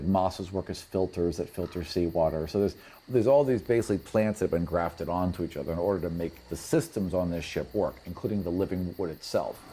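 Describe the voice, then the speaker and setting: Low-pitched man narrating as game master. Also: warm tone. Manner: explanatory, conversational. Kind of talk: narrating a tabletop fantasy game.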